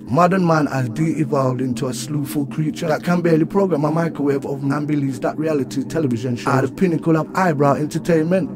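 An actor playing a solemn, sardonic narrator of a science-fiction audio drama, with measured, mock-grave delivery. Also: male voice, a little high, music in the background, close to an everyday voice.

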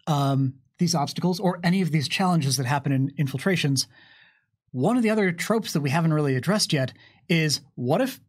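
A man in his thirties narrating a tabletop game and explaining its rules in a conversational, animated way. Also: a little high, breathy edge. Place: small curtained studio, indoors. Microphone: microphone on desk boom arm.

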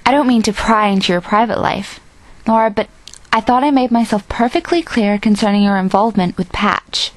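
A woman's voice: mid-range voice, a little fast, dry recording, natural voice, poor audio. A young woman voicing a poised, menacing female rival with formal phrasing in an amateur audition. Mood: coolly insinuating. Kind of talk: amateur audition line reading.

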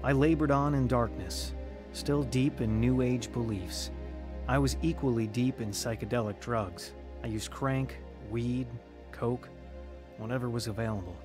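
A man's voice: mid-range voice, unhurried, natural voice. An actor playing a troubled young man in a radio drama, telling his own conversion story in an earnest, dramatic way. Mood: grave, confessional. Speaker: narrator and lead actor. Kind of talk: dramatized first-person testimony in a radio drama. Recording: dramatic music under the voice.

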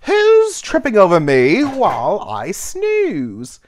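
A man's voice: wildly swooping pitch, slow, exaggerated voice. A man doing a sleepy, kindly old caterpillar. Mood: grumpy. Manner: sleepy, gentle, rumbling.

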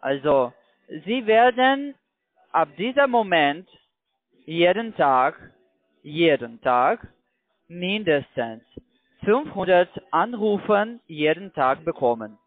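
Male phone caller, foreign accent, slow deliberate menace, high swinging pitch, tinny line. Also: very animated, dry recording. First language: not German. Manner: threatening, emphatic, deliberate.

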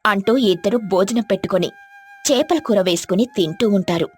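A woman's voice: medium pitch, animated, normal speed, breathy edge. A woman narrating a scripted moral story. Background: faint music.

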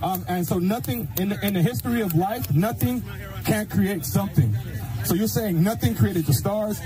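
A man preaching outdoors in a high, pressing voice, stumbling and repeating words as he argues. Loud music plays over the recording. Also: normal speed. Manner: insistent, stammers and repeats words. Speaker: street preacher. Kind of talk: street preaching debate. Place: outdoors on a street. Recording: clip with music playing over it.

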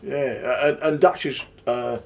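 A man's voice: medium pitch, steady tone, slow, creaky. An older man in an interview, telling fond, thoughtful stories about a friend in a book-lined room. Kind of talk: reminiscing interview. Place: room full of books, indoors.

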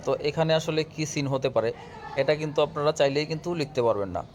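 A man's voice: fairly high pitch, quick pace, a little mellow. Man teaching, noisy recording. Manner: instructional, addresses viewers directly. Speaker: tutorial host. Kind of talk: casual step-by-step app tutorial. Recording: clear background noise, room ambience.

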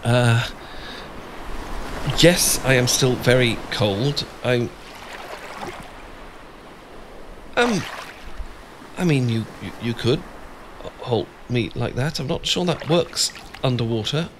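A man's voice: medium pitch, animated, slow. A man with a British accent playing a shy, adorkable, flustered young man in love, stammering and hesitant in an ASMR boyfriend roleplay. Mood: shy, awkward. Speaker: audio roleplay performer. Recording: clear background ambience noise.

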